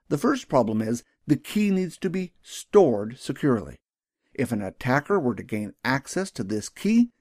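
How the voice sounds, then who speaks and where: A man's voice: medium pitch, a little mellow, dry recording, clean studio sound. A man narrating a scripted training video as an instructor, explaining things in a formal, methodical, carefully enunciated way.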